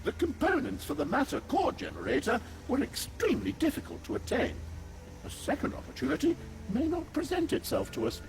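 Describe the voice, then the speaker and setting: Game alien scientist, very high voice, wildly swooping intonation, formal, pedantic, fussy, music behind. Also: male voice, wildly swooping pitch, fairly quick, creaky, exaggerated voice. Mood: earnest, explanatory. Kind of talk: scripted video game character acting.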